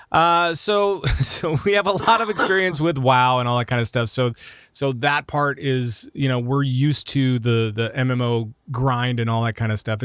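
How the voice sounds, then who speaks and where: Guy hosting a gaming podcast, really clean smooth voice, dry sound, chatty. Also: medium pitch, a bit bright, dry recording. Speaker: podcast host. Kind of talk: casual gaming discussion.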